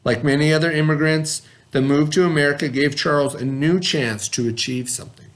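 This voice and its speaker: A man's voice: fairly high pitch, steady tone, fairly quick. A man teaching young children, reading a story aloud and then reviewing it with patient questions.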